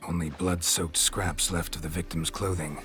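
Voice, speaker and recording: A stoic monster hunter with a very deep, gravelly voice. He speaks in terse, deadpan phrases, sounding world-weary. Game music plays clearly behind him. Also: moderate pace. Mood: stoic, businesslike. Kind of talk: video game dialogue acting.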